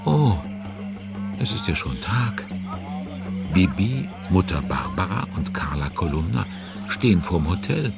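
An actor as the droll narrator of a children's audio drama, musing aloud and commenting conversationally. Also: male voice, deep voice, sing-song, unhurried, gravelly, long pauses, over loud music, exaggerated voice. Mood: curious, storytelling. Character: droll storybook narrator who watches and comments along.

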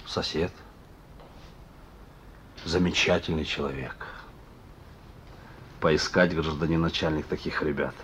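An actor playing a sullen, fatalistic, wounded young petty criminal, curt and colloquial, in a Soviet radio play. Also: male voice, muffled recording. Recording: noisy old radio recording with rumble.

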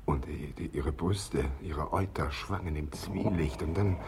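A man's voice: very deep voice, a little slow, background noise. An actor in a radio play playing a pompous, paranoid, naive young man who fancies himself an artist, swinging between grandiose ranting and conspiratorial confiding. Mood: confiding, prurient. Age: young adult. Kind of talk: 1980s German radio play acting.

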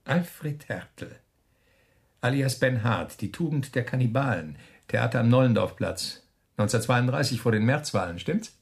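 A man acting in a German radio play as a smug, menacing officer who taunts a prisoner with mocking, drawn-out questions. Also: mid-range voice, lively intonation, gravelly.

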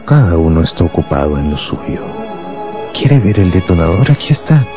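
An actor playing a smug, contemptuous anarchist bomb-maker in a radio drama, speaking deliberately. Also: male voice, deep voice, very animated. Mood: menacing, boastful. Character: small shabby anarchist bomb-maker, fanatical, contemptuous, boastful. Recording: loud music behind the voice.

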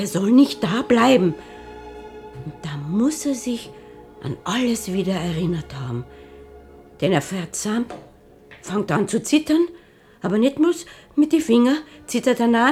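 An old Viennese washroom attendant. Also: female voice, medium pitch, a little mellow. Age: older. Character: old female washroom attendant, simple, kind-hearted. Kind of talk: radio play acting.

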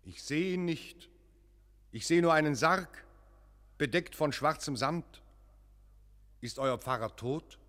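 A man's voice: fairly high pitch, takes it slowly, long pauses, fairly bright tone. An actor playing a troubled, earnest visiting clergyman in a radio play, speaking in solemn, insistent short phrases. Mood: earnest, questioning. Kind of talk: German radio play acting. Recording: long echoing church-like reverb.

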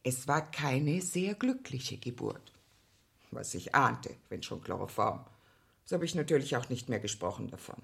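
A husky, animated gossipy mother. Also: very deep voice, unhurried, heavily acted. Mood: gossipy, confiding. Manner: chatty, rambling, deferential, quoting herself and others. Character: talkative working-class mother of nine, gossipy witness. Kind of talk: German radio play acting.

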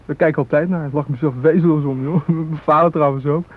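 An actor playing a chatty, naive young technical-school student, rambling colloquially in a radio drama, with a husky voice. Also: male voice, on the high side, steady tone, fast talker, natural voice. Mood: chatty, boyish. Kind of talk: radio drama dialogue, casual chat. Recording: tinny phone-like old radio recording.

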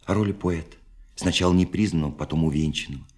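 Husky low-voiced romantic dreamer. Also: male voice, darkish tone. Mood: rapt, wistful. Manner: ornate, bookish, impassioned speech with dramatic pauses. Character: lonely romantic young dreamer pouring out his heart. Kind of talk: literary radio play acting, monologue.

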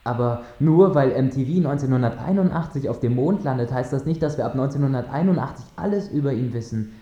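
A man playing a measured mission control lecturer, giving a formal address in a radio drama. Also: mid-range voice, expressive, warm tone, lightly acted. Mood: explaining. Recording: dull, echoing transmission sound.

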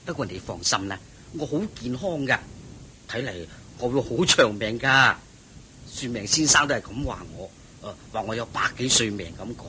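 An actor playing a complacent, evasive rich householder in a Cantonese radio drama, hearty and self-satisfied, with a Hong Kong accent. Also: male voice, mid-range voice, lively intonation, normal speed, sharp tone, a little hiss or hum, low-quality recording. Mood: evasive, polite.

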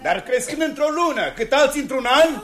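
A man's voice: extremely high pitch, expressive. An actor playing a cheerful, teasing courtier in a 1960s radio drama, laughing as he speaks. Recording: old radio recording with loud noise and crowd.

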